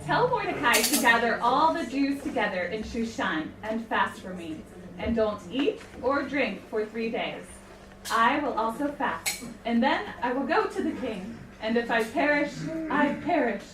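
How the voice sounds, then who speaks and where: Puppet-show queen, woman performer: young, earnest, theatrical, lively pitch, distant echoey recording. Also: a little slow. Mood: solemn, resolute. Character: Queen Esther, earnest young biblical queen, hesitant but resolute. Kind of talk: amateur puppet show acting. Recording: echoey room, distant mic, clattering noise.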